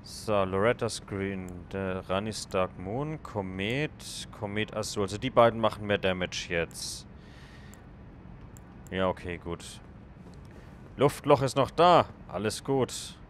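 A man's voice: on the low side, slow pace, a little hiss or hum. A man casually commenting on his game as he plays, thinking aloud and chatting with viewers. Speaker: let's play gamer.

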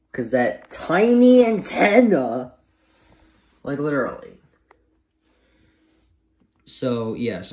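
A man giving a casual, unscripted review in a halting, repetitive and emphatic way, recorded in a room at home. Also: mid-range voice, a little mellow. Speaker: vlogger reviewing gadgets. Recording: roomy, echoey home recording.

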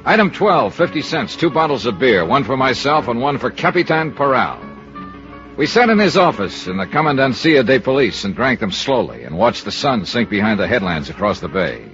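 A muffled old radio drama recording carries a tough American investigator. He is cynical and clipped, with lively, swinging intonation and a sardonic edge. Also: medium pitch, normal speed, soft background music. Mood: dry narrating. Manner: clipped, sardonic, hard-boiled. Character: tough, cynical male freelance insurance investigator. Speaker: lead actor and narrator. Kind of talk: 1950s radio detective acting.

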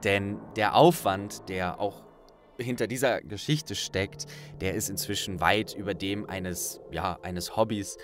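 A man's voice: lowish voice, a little slow, faint music behind. A man hosting an audiobook project, speaking casually and directly to his listeners. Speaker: audiobook narrator and host. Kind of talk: casual thank-you address to listeners.

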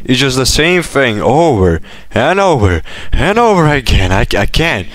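A young man from Lithuania, a native Lithuanian speaker with British influence in his accent, giving casual gaming commentary in an animated, rambling way. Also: mid-range voice, a little slow. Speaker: gaming YouTuber. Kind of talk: casual Q&A commentary over gameplay. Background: background music.

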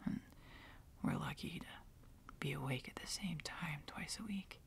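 A woman playing a tender, sleepy, teasing girlfriend in an ASMR roleplay, speaking softly and intimately in a soothing whisper. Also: low voice, huge pitch swings, measured pace, exaggerated voice. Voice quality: soft, breathy whisper with some fry.

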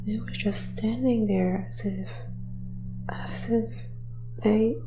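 A woman's voice: medium pitch, fairly even intonation, takes it slowly, lo-fi sound. A woman voicing a shy, gloomy ghost in an audio drama, hesitant and trailing off. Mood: fearful, faltering. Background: music.

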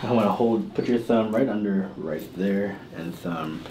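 A man's voice: lowish voice, moderate pace. A man working as a chiropractor, giving casual, reassuring hands-on instructions to a patient. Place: small indoor treatment room. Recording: noisy small room recording.

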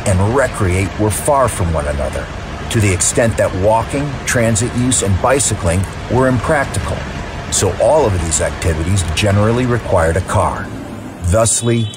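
A man reading scripted documentary narration as the narrator. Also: lowish voice.

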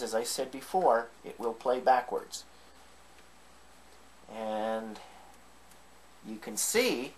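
A man's voice: mid-range voice, fairly bright tone. A man with a mid-range voice talks through an informal demonstration, explaining things step by step. There is some faint background noise, as on a home video. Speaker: demonstrator of vintage tape equipment. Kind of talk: informal hands-on tutorial.

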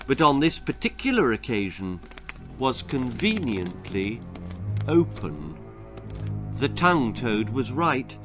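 A man in his sixties reads a children's tale with theatrical flair. He takes it very slowly in a slightly high-pitched voice, with faint music behind him. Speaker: author reading his own stories. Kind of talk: dramatic children's storytelling. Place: small book-lined room at home.

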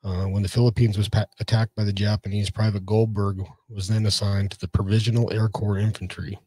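Middle-aged male host, lowish even voice, close dry mic, informal halting introduction. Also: husky, no room sound. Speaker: podcast host and researcher.

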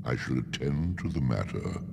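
A man voicing a huge, contemptuous monster crime lord in a video game, with a gravelly, guttural voice and a domineering manner. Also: a bass voice, expressive, heavily acted. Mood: stern, threatening. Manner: slow, domineering, scornful. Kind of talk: video game villain acting. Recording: heavy reverb, very noisy game capture.

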